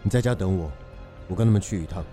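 An actor playing a calm, protective man in a radio drama, gently reassuring a loved one. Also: low-pitched, fast talker, soft background music, close to an everyday voice. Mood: tender, reassuring.

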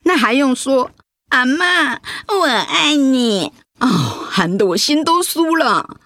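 Emotional, high-voiced Taiwanese wife. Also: female voice, lively intonation, slow pace, a bit bright, dry recording, studio quality, full character voice. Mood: weary, fond. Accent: Taiwanese Mandarin. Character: retired grandmother, affectionate, emotional breast cancer survivor. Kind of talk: radio drama acting.

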